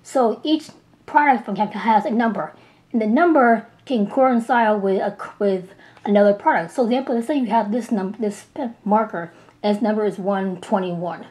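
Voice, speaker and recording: A woman in her thirties with a slightly hoarse voice from a cold, gushing over art markers in a casual chatty demo at home, recorded on a camera mic. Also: mid-range voice, dark tone. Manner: enthusiastic, gushing, chatty with restarts. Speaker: art supply reviewer. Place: small indoor room at home.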